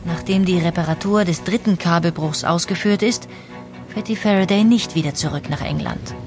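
A woman's voice: lowish voice, moderate pace, over music. A woman narrating a historical audio documentary from a script in a factual, articulate manner.

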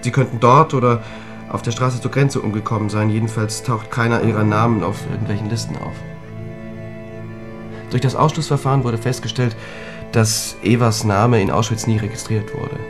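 An actor playing a sober scholarly man in a German radio drama, recounting a tragic history deliberately and precisely. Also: lowish voice, fairly even intonation, natural voice. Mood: grave, sombre. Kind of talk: German radio drama dialogue, explanatory storytelling. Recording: studio radio play with music.

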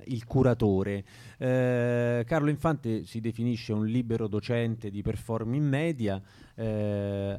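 A man hosts a radio interview in an average-pitched voice. He speaks off the cuff and hesitantly, searching for words, in a dry, close sound. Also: dark tone, clear, resonant tone, dry recording. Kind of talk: unscripted interview talk.

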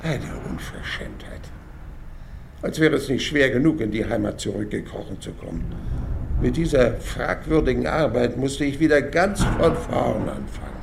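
An actor playing a proud, aggrieved older playwright in a mock-documentary interview, disdainful and deliberate. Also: male voice, medium pitch, creaky. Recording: faint ambient noise.

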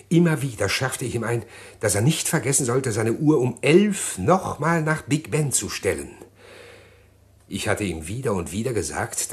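A man acting a scheming, respectable middle-class husband in a German radio crime drama, speaking precisely and insistently in a husky voice. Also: mid-range voice, lightly acted. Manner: precise, insistent, well-spoken.

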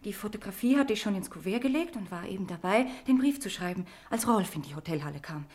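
A woman's voice: medium pitch, lively intonation, fast talker, darkish tone, close to an everyday voice. An actress playing a frightened young woman with an addiction, confessing earnestly in in a radio crime drama. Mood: confessional, tense.

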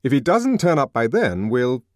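A man voicing a brisk, impatient educated gentleman in an audiobook. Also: mid-range voice, sing-song, no echo, studio quality, voice pushed up, close to an everyday voice.

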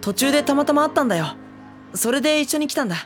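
An actress playing a mild, polite teenage schoolboy who also narrates in a drama CD. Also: female voice, high voice, lively intonation, racing, soft background music. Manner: polite, flustered. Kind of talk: first-person narration and dialogue.

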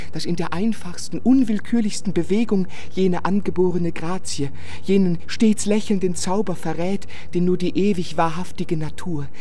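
An actor playing a rapturous, yearning young painter in a poetic radio play monologue. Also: male voice, sits high, dark tone.

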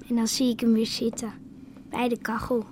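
A woman acts a grieving young village girl in a radio drama. Her high voice is subdued and plain, quietly resolute, over faint ambient noise. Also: little pitch movement, normal speed, natural voice. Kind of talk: naturalistic radio drama acting.

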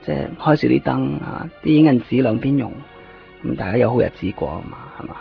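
A man's voice: medium pitch, expressive, a little fast, gravelly. A man playing a fussy, penny-pinching office manager in a radio drama, nagging with repeated questions. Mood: coaxing. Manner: nagging, repetitive rhetorical questions. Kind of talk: Cantonese radio drama acting. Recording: muffled lo-fi radio recording, faint music.

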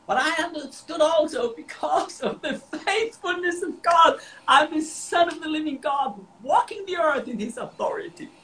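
A man's voice: very high-pitched, expressive, normal speed, few pauses, pushed and bright, a little hiss or hum, poor audio. Emphatic man preaching. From Austria. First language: German. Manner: emphatic, repeats words for stress. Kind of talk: devotional sermon with interpreter.

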